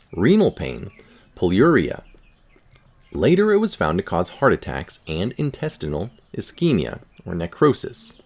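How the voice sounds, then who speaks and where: A man reading a science article aloud, adding informal asides as he goes. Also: sits low. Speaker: article reader.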